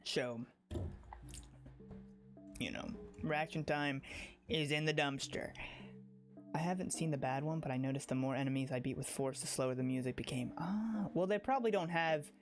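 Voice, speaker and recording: A game streamer chatting casually on a livestream, informal and excitable, rambling along into a close microphone. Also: mid-range voice, normal speed, long pauses. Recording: music under the voice. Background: music.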